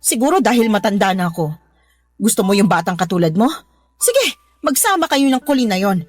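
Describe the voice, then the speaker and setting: Lonely, seductive widow, swooping voice. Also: female voice, medium pitch, very animated, fairly quick, a little mellow, no room sound. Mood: threatening. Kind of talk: Tagalog radio drama acting. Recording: background music under the voice.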